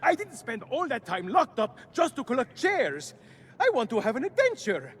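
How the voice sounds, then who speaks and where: An actor playing an excitable, adventure-hungry freed captive in a video game, melodramatic and easily exasperated. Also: male voice, extremely high pitch, normal speed, slightly rough, full character voice. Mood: exasperated, hurt. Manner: melodramatic, exclamatory. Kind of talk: video game character acting.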